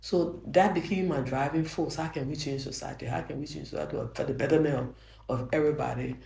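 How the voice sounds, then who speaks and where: Older woman, Liberian accent, soft voice, earnest, roomy echo. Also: deep voice, steady tone, fast talker, creaky. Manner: earnest, frequent restarts, grows emphatic. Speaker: judge. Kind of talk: interview answers, reflective storytelling.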